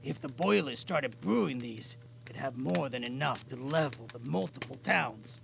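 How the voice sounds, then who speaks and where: A man playing a weary, cautious older mentor in an amateur audio drama, speaking in a measured, grave, gravelly way. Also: medium pitch, animated. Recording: noisy amateur mic with clicks.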